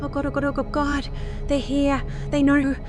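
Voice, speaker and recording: A woman's voice: high voice, monotone, moderate pace, natural voice. A woman playing a fearful, guarded scientist in an audio drama, with a flustered, clipped way of talking. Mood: anxious. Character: harried, fearful, guarded female scientist on Mars. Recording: voice over loud music.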